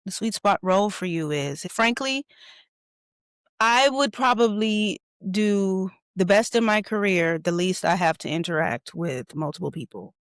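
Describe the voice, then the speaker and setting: A woman's voice: mid-range voice, measured pace, dry recording, clean studio sound. A woman hosting a podcast, speaking frankly and reflectively about herself. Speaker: podcast host. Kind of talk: candid conversational talk.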